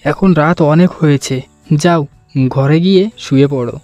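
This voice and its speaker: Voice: mid-range voice, moderate pace, dark tone, dry recording, highs cut off, faint music behind, close to an everyday voice. An actor voicing a kindly, cautious village man in a cartoon, with a concerned, hesitant manner. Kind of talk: Bengali children's cartoon voice acting.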